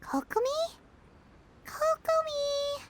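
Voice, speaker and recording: A woman's voice: very high voice, fairly even intonation, takes it slowly, background noise. A woman voicing a tiny, cheerful, fretful childlike fairy companion in an anime game. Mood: urgent.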